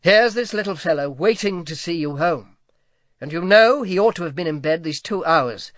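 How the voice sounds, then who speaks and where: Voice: mid-range voice, lively intonation, normal speed, no room sound, voice pushed up, close to an everyday voice. A reader voicing a grown man, a saint who speaks kindly but firmly. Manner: kind but firm.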